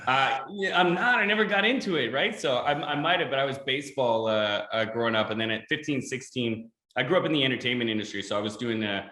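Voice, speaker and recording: Guy from ontario hosting a podcast, eager n rambly, bright voice, echoey room. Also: medium pitch, fast talker, hardly any pauses. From Ontario, Canada. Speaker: podcast host. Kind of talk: casual interview chat. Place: games room in a rented house.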